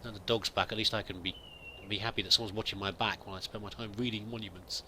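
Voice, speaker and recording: A man's voice: on the low side, steady tone, fast, bright, forceful tone, a little hiss or hum. A man giving in-character let's play commentary, musing aloud and muttering to himself as he goes. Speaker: host of a roleplay let's play.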